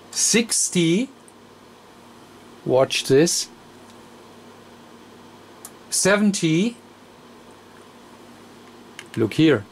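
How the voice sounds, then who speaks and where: A man demonstrating a radio repair at his workbench, talking haltingly with many fillers and counting aloud as he adjusts. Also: high voice, a little hiss or hum. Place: electronics workbench.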